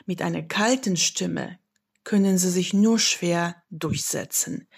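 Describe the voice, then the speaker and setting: A woman from Poland with a Polish accent, a voice coach giving measured, instructive explanations in an interview. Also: fairly low pitch, gravelly, husky. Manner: measured and instructive, demonstrates examples. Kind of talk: slow, deliberate expert explanation.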